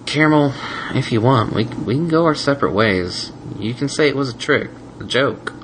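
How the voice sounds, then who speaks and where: Guy voicing a soft gentle stallion, earnest, slightly higher. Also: lively intonation, moderate pace, a little hiss or hum, close to an everyday voice, rough recording. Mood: tender. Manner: earnest, emotional. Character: gentle soft-spoken stallion, fiercely loyal.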